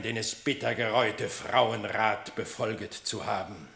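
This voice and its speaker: A man's voice: mid-range voice, sharp tone, reverberant. An actor playing a stern, wronged animal king, speaking in a grave, regal, declamatory way in a radio drama. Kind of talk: German radio drama acting.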